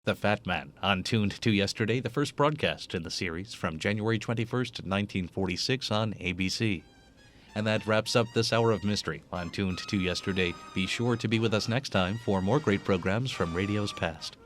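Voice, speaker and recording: A man hosting a program of old radio shows, speaking in a smooth announcer manner. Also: on the low side, moderate pace, a bit bright, soft background music. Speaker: host.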